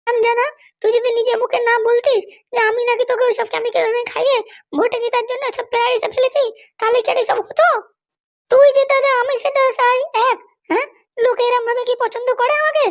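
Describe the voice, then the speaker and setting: A woman's voice: very high-pitched, level tone, quick pace. A woman voicing a bossy, vengeful female politician and scolding wife in a comedy cartoon, haranguing and boastful. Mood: furious scolding.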